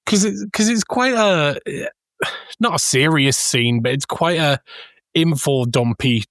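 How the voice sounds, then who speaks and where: A man co-hosting a casual film discussion, telling anecdotes in an animated way and acting out quotes. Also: fairly high pitch, measured pace, dry recording, clean studio sound. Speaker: podcast co-host.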